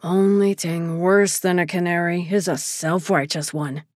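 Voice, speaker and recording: Female narrator doing an angry young laborer, low growl, clipped contempt, audiobook character, dry studio. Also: steady tone, a little slow, darkish tone, no room sound, lightly acted. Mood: hostile. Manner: low, rumbling, growling with anger. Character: hostile, bitter young male ward laborer.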